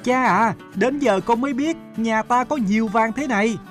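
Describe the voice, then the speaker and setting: A man's voice: extremely high pitch, moderate pace, over music. A man voicing an eager, obedient young merchant's son in a fairy-tale cartoon, speaking deferentially.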